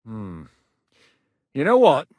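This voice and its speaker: An actor playing a hardened, foul-mouthed war captain in a video game, gruff and commanding. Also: male voice, mid-range voice, huge pitch swings, slow, no echo, clean studio sound, heavily acted. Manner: gruff, curses freely, barks orders. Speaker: military commander.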